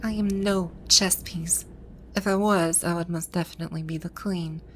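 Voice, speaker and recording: A woman's voice: fairly low pitch, steady tone, moderate pace, fairly bright tone, slight background noise, natural voice. A woman acting a haughty, bewildered video-game villainess in an ASMR roleplay, with pompous, commanding, old-fashioned phrasing. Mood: indignant, boastful.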